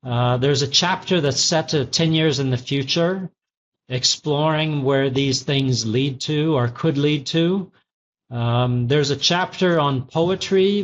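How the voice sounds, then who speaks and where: Thoughtful middle-aged man, teacherly. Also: fairly high pitch. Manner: thoughtful, many ums and restarts, teacherly. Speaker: guest, former tech CEO turned executive coach. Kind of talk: interview answers, reflective storytelling. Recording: slightly dull lo-fi audio.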